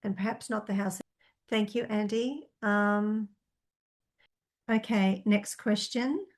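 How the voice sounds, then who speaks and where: A woman reads out questions from viewers and answers them as a psychic. She talks tentatively and thinks aloud, with frequent ums and half-finished starts. Her voice sits at an average pitch. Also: fairly even intonation, takes it slowly. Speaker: psychic reader.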